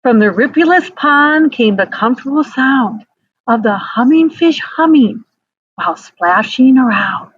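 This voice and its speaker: A woman's voice: high-pitched, expressive, a little slow, slightly rough, highs cut off. A woman reading a children's storybook aloud. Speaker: school staff member reading aloud.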